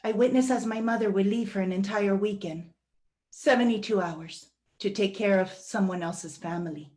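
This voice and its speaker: A woman's voice: mid-range voice, normal speed, a little mellow. Woman reading a personal monologue earnestly. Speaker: guest reader.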